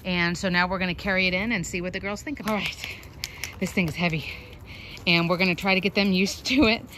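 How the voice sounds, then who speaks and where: A woman's voice: fairly even intonation, fast, fairly bright tone, slight background noise. Chatty mom filming her backyard hens. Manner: chatty, explains while calling hens in a high sing-song. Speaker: backyard chicken vlogger. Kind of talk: casual outdoor show-and-tell. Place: backyard chicken run outdoors. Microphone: handheld phone camera.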